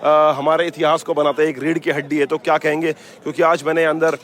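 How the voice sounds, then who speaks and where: A man's voice: a little high, little pitch movement, brisk, a bit bright. A male radio host covers an event on location. He switches between Hindi and English phrases, gushing and often searching for words as he presents. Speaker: radio station host and interviewer at an expo. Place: busy expo grounds.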